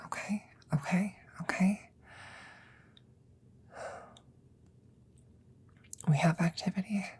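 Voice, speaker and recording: A woman playing an anxious, caring scientist in a whispered ASMR audio roleplay, halting and repeating herself nervously. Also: mid-range voice, darkish tone. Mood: shaken, anxious. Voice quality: breathy, husky whisper. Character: anxious female scientist tenderly saving her dying best friend.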